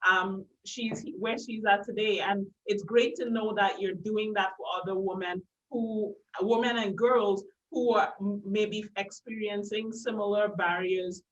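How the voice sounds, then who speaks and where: A woman's voice: mid-range voice, far from the mic, lo-fi sound. A woman from Trinidad and Tobago hosting a business interview show, upbeat and full of praise for her guest. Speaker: podcast host. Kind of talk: friendly online interview.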